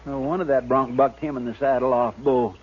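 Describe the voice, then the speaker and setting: An actor playing a loyal, simple-hearted frontier deputy with an American accent, folksy and deferential, in a 1950s radio western. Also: male voice, mid-range voice, steady tone, brisk, lightly acted. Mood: indignant, earnest. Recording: muffled, noisy 1950s radio recording.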